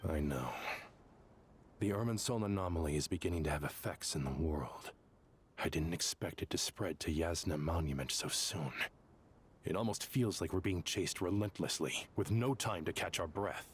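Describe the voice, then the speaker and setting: Casting: serious, decisive male authority figure for a game male actor, lowish voice, businesslike delivery. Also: slight background noise, close to an everyday voice. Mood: grave. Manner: formal, measured, businesslike. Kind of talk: video game voice acting.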